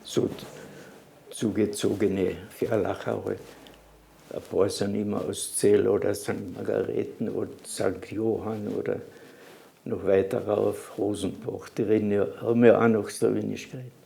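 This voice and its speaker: An elderly man with a Carinthian accent remembers his past in an unhurried interview. His voice is dark and mellow, with a heavy gravelly fry. He often stops mid-phrase and starts over, and his first language is Slovene. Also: on the low side, flat delivery, slight background noise. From Carinthia, Austria. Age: 80s. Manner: halting, with restarts and fillers. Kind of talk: reminiscing interview.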